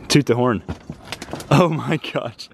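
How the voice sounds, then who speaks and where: A young man exploring outdoors with a friend, reacting excitedly and exclaiming at what they find. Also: medium pitch, takes it slowly, creaky, a little hiss or hum. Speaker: vlogger companion. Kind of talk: casual exploring chat.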